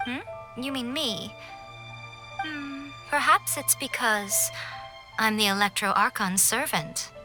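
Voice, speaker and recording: A woman voicing a sly, poised fox spirit in a video game, speaking in a measured, formal, teasing way with silky self-assurance. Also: on the high side. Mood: teasing, smug. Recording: music under the voice. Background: background music.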